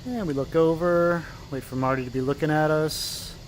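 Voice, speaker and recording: A man's voice: a little high, fairly even intonation, normal speed, slight background noise, rough recording. A man with a stuffy, slightly nasal voice narrating a simulated flight live, explaining as he goes with many fillers. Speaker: flight sim pilot streaming.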